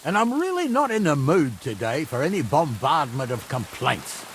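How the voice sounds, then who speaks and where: A man's voice: on the high side, normal speed, slight background noise. An actor playing a bossy, hot-tempered medieval man in command, in a video game. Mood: brisk, wry. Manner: imperious, animated, commanding.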